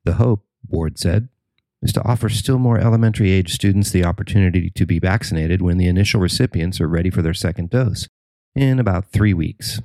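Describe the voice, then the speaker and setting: A man's voice: low voice, fairly quick, mellow, no room sound, low-quality recording. An American man reading a scripted local news report as the narrator.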